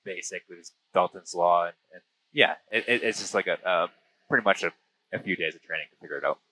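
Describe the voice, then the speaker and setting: A man's voice: low voice, a little fast, sharp tone, no room sound, poor audio. A man in his thirties with a western Canadian accent, telling stories casually in an interview over an aviation headset mic. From British Columbia, Canada. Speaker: interview guest, diver and filmmaker. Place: small plane cockpit in flight. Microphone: aviation headset boom. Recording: close aircraft headset intercom.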